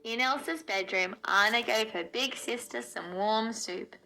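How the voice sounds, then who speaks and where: A woman narrating a children's storybook aloud in an expressive storytelling way. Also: fairly high pitch, measured pace, vocal fry, background noise. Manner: expressive storytelling, acts out dialogue.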